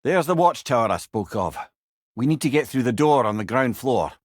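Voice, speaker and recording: A witty, learned older Scottish man, played by an actor as a talking severed head in a video game. His delivery is animated and wry, with eloquent old-fashioned turns of phrase, recorded very dry and close. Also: mid-range voice, normal speed, dry recording, lightly acted. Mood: wry, advising. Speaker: companion character in a video game. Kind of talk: video game character dialogue.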